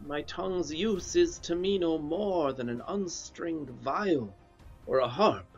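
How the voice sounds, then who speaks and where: A man playing an aging duke grieving his exile, speaking the verse in a sorrowful, measured way over a webcam. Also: a little high, dull audio, soft background music. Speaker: actor. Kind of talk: staged Shakespeare reading. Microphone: webcam on a video call.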